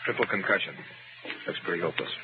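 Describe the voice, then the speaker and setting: An actor playing a grave hospital doctor in 1930s radio drama, clipped and matter-of-fact. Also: male voice, low-pitched, lively intonation, fast talker. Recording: tinny, noisy 1930s radio recording.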